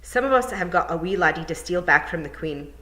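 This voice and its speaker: A woman's voice: lowish voice, expressive, a little fast, pushed and bright. A woman doing a blustering pixie chief. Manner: blustering, gruff, bossy. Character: small blustering male pixie warrior chief.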